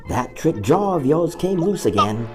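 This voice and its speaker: A man's voice: fairly high pitch, very animated, a little slow, faint music behind. A man playing a chummy, wisecracking henchman to an evil boss in an audio drama, with a folksy, casual manner. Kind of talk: audio drama character acting.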